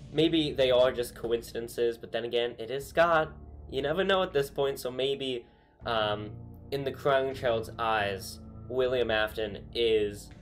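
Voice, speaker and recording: Young male vlogger, casual and rambling, faint music bed. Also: mid-range voice. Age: young adult. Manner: rambling, many ums and restarts. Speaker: YouTube host. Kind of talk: casual theory vlog. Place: small bedroom with shelves.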